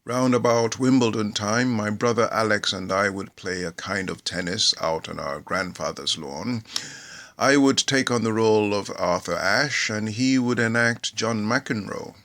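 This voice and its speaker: A middle-aged man from Nigeria reading a humorous memoir aloud in a measured, theatrical storytelling manner. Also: on the low side, a bit bright. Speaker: actor reading a book aloud. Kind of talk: scripted narration of a humorous memoir.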